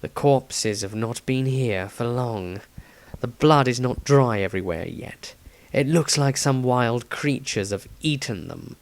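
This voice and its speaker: A man's voice: medium pitch, a little slow, gravelly. Guy playing a cold snooty stern fella in a fantasy tale, clinical and scornful. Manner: clinical, snarling, superior. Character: stern, cold, contemptuous man in dark fantasy.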